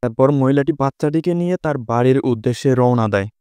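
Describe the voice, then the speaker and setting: A man's voice: mid-range voice, quick pace, a little mellow, clean tone, no room sound. A man giving a scripted storyteller's narration for a fairy-tale cartoon. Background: prominent music.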